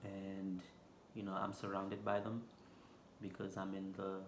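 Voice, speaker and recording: A man with a Haitian accent, vlogging unscripted from a stool indoors, talking slowly and haltingly with lots of fillers. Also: low-pitched, monotone. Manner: slow, halting, many fillers. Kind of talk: unscripted casual vlog talk. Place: indoor room, seated on a stool. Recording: echoey room with background noise.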